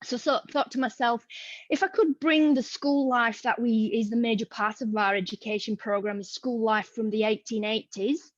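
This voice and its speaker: Yorkshire woman in her thirties, friendly and chatty, higher voice, dry muffled call sound. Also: steady tone, moderate pace, no echo. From Yorkshire, England. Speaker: museum education leader. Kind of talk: informal talk to colleagues. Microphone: video call.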